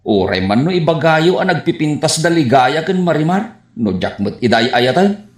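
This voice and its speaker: A man with an Ilocano accent playing a gullible, lovestruck, excitable suitor in a comedy radio drama. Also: on the high side, normal speed. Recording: roomy, echoing radio drama sound.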